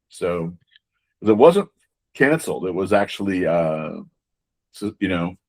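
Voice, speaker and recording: A man being interviewed as a game designer, talking casually and haltingly, often repeating words as he explains. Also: sits low, a little mellow.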